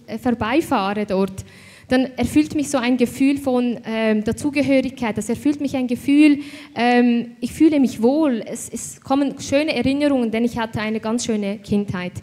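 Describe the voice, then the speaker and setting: A woman's voice: on the high side, a little mellow. Swiss German woman, raised voice, hesitant and reflective, hall echo. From Switzerland. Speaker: panel speaker. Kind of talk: panel discussion. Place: event hall. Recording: hall reverb.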